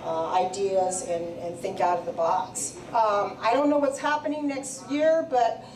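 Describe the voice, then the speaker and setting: A woman's voice: medium pitch, fairly even intonation, a little slow. A woman who works as a psychic medium, speaking live to an audience at an event in a chatty, hesitant way full of fillers. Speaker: psychic medium and radio guest. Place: live venue with crowd. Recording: echoey venue, distant mic, crowd behind.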